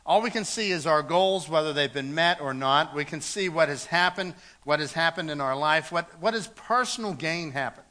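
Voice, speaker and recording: A man's voice: on the high side, normal speed, few pauses, bright, forceful tone. A man preaching a sermon in a church, earnest and repeating words as he goes, reading scripture aloud. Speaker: pastor.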